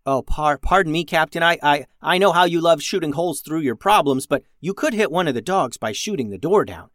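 A man voicing Ted, a fussy, overly polite crewmate who stammers and coos softly at puppies, in a storybook reading. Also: on the high side, lively intonation, fairly quick, no room sound, studio quality, voice pushed up.

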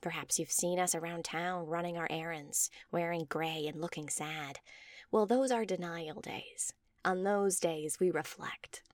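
A woman's voice: lowish voice, steady tone, measured pace, dry recording, clean studio sound, natural voice. A woman voicing a smug, preachy male commune leader in a story, speaking with insistent cheer. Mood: forced cheer. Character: Bill, smug tall male leader of a joy-obsessed commune. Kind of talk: scripted story dialogue.

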